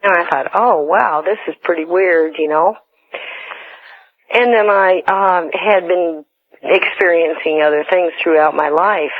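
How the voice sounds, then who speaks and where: A middle-aged or older woman from the western United States calling a radio show, telling a personal story in a halting, earnest way. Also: on the low side. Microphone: telephone. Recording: tinny phone line on radio.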